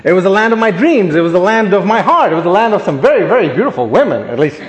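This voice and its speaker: A man with a fairly high voice tells jokes at his own expense while speaking to an audience. The sound carries the long echo of a large hall. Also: big pitch swings, fast talker. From born in Ghana, grew up in Africa, Asia and the Caribbean. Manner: self-deprecating, joking, storytelling. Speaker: guest speaker. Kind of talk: humorous speech to an audience. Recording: echoey hall.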